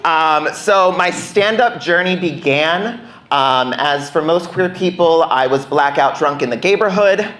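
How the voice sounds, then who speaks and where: A man's voice: fairly high pitch, lively intonation, unhurried, fairly bright tone. A young American man performing a playful, self-deprecating stand-up routine in a conversational way on a club stage. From United States. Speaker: stand-up comedian. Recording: roomy live club sound.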